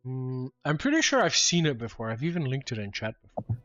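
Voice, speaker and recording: A man's voice: medium pitch, big pitch swings, brisk, fairly bright tone, no room sound, lo-fi sound, faint music behind. A man speaking as game master, casually talking through rules during an online tabletop game. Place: online voice call.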